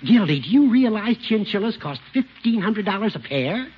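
An actor playing a teasing old judge with a needling, precise manner in a 1950s radio comedy. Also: male voice, high-pitched, big pitch swings, normal speed. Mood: dryly amused. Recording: muffled, noisy vintage radio recording.

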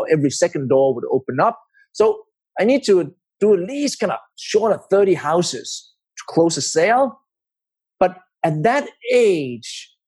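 Man, middle-aged, Danish accent, husky, slightly higher pitch, conversational, explanatory, interview guest. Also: darkish tone. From Denmark.

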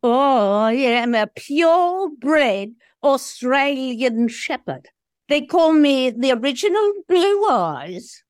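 A woman playing a modest, gentle female dog in an audio play, demure and earnest. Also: sits high, very animated, slow, smooth, no room sound, studio quality, full character voice. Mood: demure, sincere. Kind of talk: audio drama acting.

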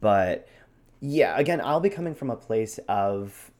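A man with a slightly low voice explains performance technique as a co-host. His delivery is informal and conversational, like a teacher chatting with peers. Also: animated, unhurried, a little mellow. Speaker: co-host with acting background. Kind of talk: conversational instructional chat.

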